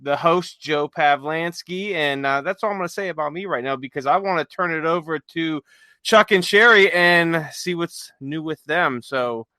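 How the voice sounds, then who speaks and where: Guy podcast host, kinda high bright voice, jokey casual banter, real dry sound. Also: moderate pace, no room sound. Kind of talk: casual chat.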